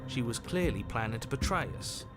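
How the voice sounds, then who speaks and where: An actor playing a wry, melodramatic narrator and bickering inner voices in a scripted game, theatrical and emphatic. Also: male voice, mid-range voice, animated, fairly quick, lightly acted. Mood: exasperated. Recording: loud music behind the voice. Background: loud game music.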